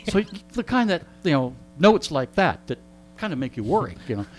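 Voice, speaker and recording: A man's voice: on the high side, big pitch swings, fairly quick, soft background music. A middle-aged or older man telling casual anecdotes in a wry, joking, digressive way. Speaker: interview guest and storyteller. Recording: clear background mains hum.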